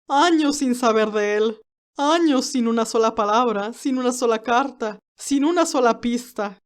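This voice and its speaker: A woman's voice: a little high, a little slow, lightly acted. A woman playing a stern, haughty elderly aristocratic great-aunt who tells her story formally and dramatically, with anguish and resentment. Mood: anguished, worried. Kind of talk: first-person dramatized narration.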